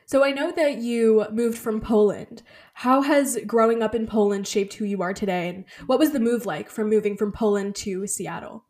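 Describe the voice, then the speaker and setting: A woman's voice: on the high side, normal speed, darkish tone. A woman hosting an interview podcast, reading a guest introduction and asking friendly questions. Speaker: podcast host.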